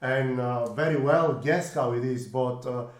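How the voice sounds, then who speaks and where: A middle-aged man from Bulgaria with a Bulgarian accent, hosting a casual chat and speaking haltingly with frequent fillers and restarts. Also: mid-range voice. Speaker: podcast host. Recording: echoey room.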